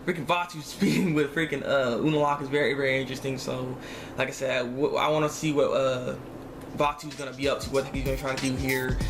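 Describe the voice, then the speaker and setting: A man giving a casual, rambling review of an animated series episode in slangy, exasperated speech with emphatic repetition. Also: medium pitch, brisk, background noise. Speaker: online reviewer.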